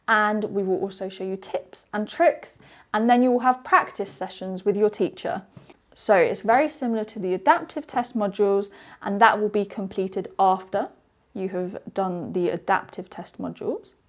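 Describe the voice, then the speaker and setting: A woman from the UK presents an online exam webinar as its trainer. Her voice sits at a middle pitch, and she explains each slide in a steady way. She often restarts sentences with "so" as she talks.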